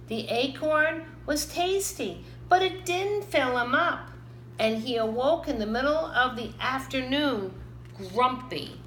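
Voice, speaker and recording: A woman reading a children's picture book aloud in an animated, expressive storytelling manner. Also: high voice. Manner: animated, dramatizes characters' lines. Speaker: storybook reader. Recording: roomy, echoing, some background noise.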